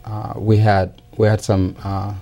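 A man in his forties from South Sudan, English not his first language, telling his life story in an interview with many pauses and fillers. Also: on the low side, flat delivery, mellow. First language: not English; English learned as second language. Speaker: interview guest. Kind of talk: personal testimony in interview.

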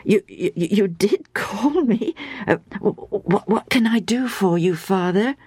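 Woman voicing an earnest, higher-pitched young boy. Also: expressive, slow pace, darkish tone, husky, no room sound. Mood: eager. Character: earnest, puzzled young boy, eager and reverent. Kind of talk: dramatised children's Bible storytelling.

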